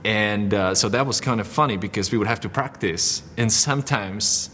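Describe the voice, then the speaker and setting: Czech man with a low voice. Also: moderate pace, fairly bright tone, in a large hall, a little hiss or hum. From Czech Republic. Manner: easygoing, laughs often, many fillers. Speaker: missionary guest. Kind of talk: casual interview.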